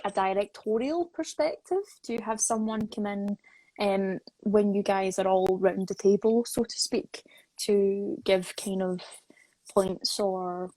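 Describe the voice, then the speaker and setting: A woman's voice: mid-range voice, fairly even intonation, unhurried, a little mellow, no room sound, poor audio. A woman hosting a casual live interview, asking questions in an informal, encouraging way. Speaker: interviewer, host. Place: remote live video chat.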